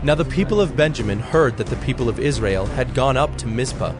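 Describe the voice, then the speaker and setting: A man narrating a dramatized scripture in a measured, solemn, scripted style. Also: mid-range voice, expressive. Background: background music.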